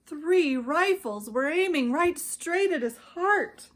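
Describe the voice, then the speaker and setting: Expressive American woman reading a children's story. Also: very high-pitched. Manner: dramatic pauses, deliberate phrasing.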